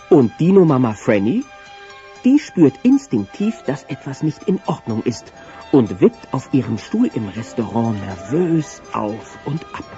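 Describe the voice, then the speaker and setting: A male narrator tells a children's audio story with playful drama and a lively, varied melody. Music plays clearly behind him and the recording sounds dull. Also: medium pitch.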